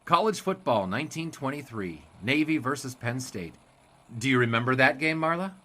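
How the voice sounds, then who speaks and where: An actor playing a genial newspaper sports writer reminiscing, in a scripted advert styled as audio drama. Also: male voice, medium pitch, animated, normal speed, pushed and bright. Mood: genial, nostalgic. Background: music and typewriter.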